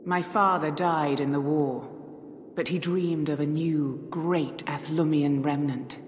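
Low-voiced, stoic warrior noblewoman, echoing. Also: steady tone, takes it slowly, a little hiss or hum. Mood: solemn, reflective. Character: stoic, reserved adult female warrior of a noble family. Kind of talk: video game cutscene acting.

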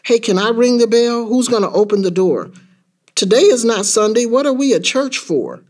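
A man playing an excitable, bewildered young boy in a rhyming dramatic story, speaking in a childlike, insistent way. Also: very high voice, moderate pace, voice pushed up, heavily acted. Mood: eager, questioning. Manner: childlike, insistent, repeated questions. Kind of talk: dramatic spoken-word storytelling in rhyme.

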